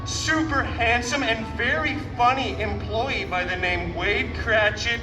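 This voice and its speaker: A man's voice: very high-pitched, measured pace, music in the background. A man playing a wisecracking superhero mercenary as a live theme park storyteller, hammy and chatty with mock cheer and sarcastic asides. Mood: theatrical, playful. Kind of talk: comic storytelling to a live audience. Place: outdoor theme park show. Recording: echoey, noisy outdoor PA from far off.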